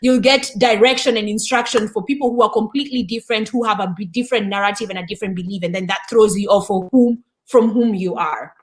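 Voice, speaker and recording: A woman from Kenya talks openly about her own experience, affirming what her partner says and often pausing on fillers. Her voice sits in the middle range. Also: mid-range voice. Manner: warm, candid, affirming, with frequent fillers. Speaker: co-host. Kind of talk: casual conversation.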